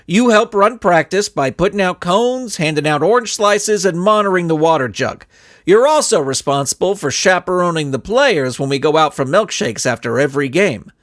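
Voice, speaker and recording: A man's voice: sits high, expressive, normal speed, a bit bright, no echo, clean studio sound. A man voicing an exasperated, overbearing young soccer coach in a storybook, bossy and emphatic. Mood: dutiful.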